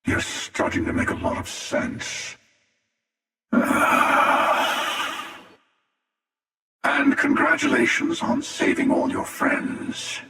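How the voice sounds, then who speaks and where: A man voicing a cynical, world-weary hunter in a video game, gravelly, sardonic and theatrical, with amused menace. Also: fairly low pitch, pushed and bright, exaggerated voice. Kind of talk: scripted video game character dialogue. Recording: cavernous echoing reverb, distant.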